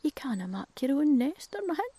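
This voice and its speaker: A woman voicing a gruff, kindly male crow with a Scottish accent, in a storybook reading. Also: high-pitched, sing-song, brisk, warm tone, dry recording, voice pushed up.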